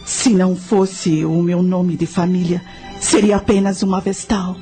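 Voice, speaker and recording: A woman playing a grave high priestess of ancient Carthage in a radio drama, telling her story formally. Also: steady tone, unhurried, dark tone, natural voice. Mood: solemn, sorrowful. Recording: music under the voice.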